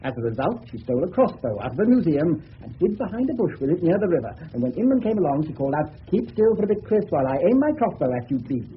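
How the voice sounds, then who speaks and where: A facetious English detective who spins things out with dry mockery. The voice is lively and slightly high, on a dull 1970s radio drama recording with faint noise. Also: male voice, fast, hardly any pauses. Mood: mocking. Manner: mocking, drily elaborate. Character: sardonic, facetious male English police officer. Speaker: police detective.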